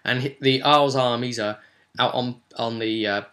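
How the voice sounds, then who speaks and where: A man's voice: medium pitch, fairly even intonation, normal speed, a bit bright. A man speaking as a game master and video host, giving a chatty, explanatory recap of a tabletop role-play session with rambling asides.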